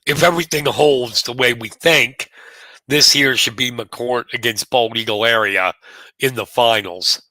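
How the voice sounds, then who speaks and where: A man with a hoarse voice doing live wrestling commentary from a press box, running through the rankings. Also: mid-range voice, sharp tone, dry recording. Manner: rapid rundown of rankings, conversational. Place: press box at a sports venue.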